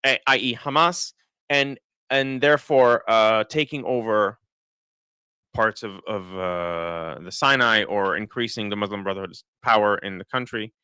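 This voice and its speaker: Israeli guy who sounds american, crisp clear voice, rambling live commentary w lots of ums. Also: lowish voice, a little slow, bright, forceful tone, no room sound. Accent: American-sounding English. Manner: thinking aloud, many restarts and fillers. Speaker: political commentator. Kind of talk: live-stream commentary reading chat.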